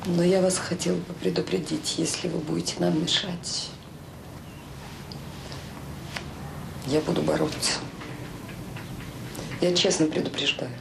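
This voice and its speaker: An actress in a Soviet radio drama playing a determined, anxious woman who speaks haltingly and earnestly. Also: on the low side, steady tone, gravelly. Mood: firm, warning. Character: determined, anxious woman warning a romantic rival. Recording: noisy, roomy old audio drama recording.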